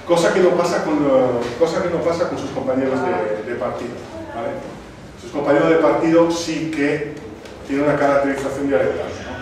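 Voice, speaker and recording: Hombre con acento sardo en sala con eco. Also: male voice, mid-range voice. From Sardinia. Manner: thoughtful, with many pauses and restarts. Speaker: film presenter. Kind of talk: film talk to an audience. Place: cinema club screening room. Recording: echoey room, distant mic, noisy.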